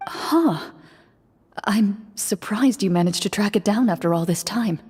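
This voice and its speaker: A woman playing a cool, composed game master in an anime game, narrating a tale in a measured, literary way. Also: medium pitch, a little mellow, breathy edge, dry recording. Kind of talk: storytelling within an anime game.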